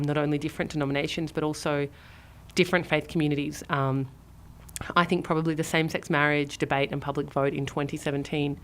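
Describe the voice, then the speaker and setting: A woman from Australia with an Australian accent, a radio studio guest who answers briskly with dry humor. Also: low voice, a bit bright. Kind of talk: radio interview.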